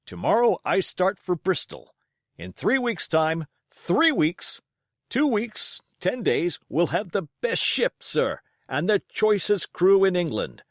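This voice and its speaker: A man voicing a blustering, excitable country squire in an audiobook, emphatic and commanding. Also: sits high, takes it slowly, no room sound, studio quality, pitched above the performer's own voice, exaggerated voice. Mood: excited, boastful. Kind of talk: audiobook character voice.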